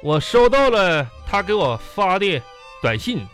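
A man's voice: high voice, very animated, a little slow, fairly bright tone, faint music behind, exaggerated voice. A man playing a boastful, sentimental young former classmate in a radio comedy, chatty and melodramatic, with a Northeastern Chinese accent. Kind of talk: comedic radio drama dialogue.

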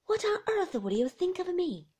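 A woman's voice: very high-pitched, very animated, normal speed, darkish tone, voice pushed up. A woman voicing an upper-class sister-in-law who speaks in gushing, emphatic exclamations. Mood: dismayed.

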